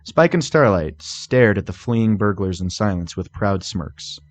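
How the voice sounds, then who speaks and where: A man's voice: lowish voice, animated, unhurried, a little hiss or hum. A man reading fan fiction aloud as a scripted story narrator. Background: music.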